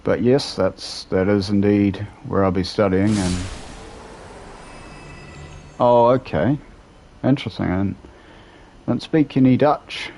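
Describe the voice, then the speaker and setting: A man's voice: on the low side, slow pace, long pauses. A young man with a New Zealand accent, chatting casually and rambling as he answers viewers while playing a game from home. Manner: relaxed, rambling, answers chat between play. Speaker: streamer. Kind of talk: casual gameplay chat with live viewers.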